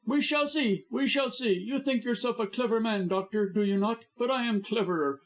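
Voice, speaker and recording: Man doing a scheming lawyer, very high shrill voice, sneering, threatening. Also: normal speed. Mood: savage. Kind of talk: audiobook reading.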